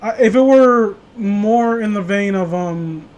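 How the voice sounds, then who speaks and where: A man's voice: sits high, slow, darkish tone, muffled recording. A man in casual, rambling gaming chat, swearing freely as he goes. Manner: profane, rambling.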